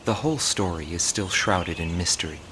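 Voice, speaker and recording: An actor playing a documentary narrator, reading a formal, steady briefing. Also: male voice, low-pitched, normal speed, slight background noise, poor audio. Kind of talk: scripted narration. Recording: music bed behind.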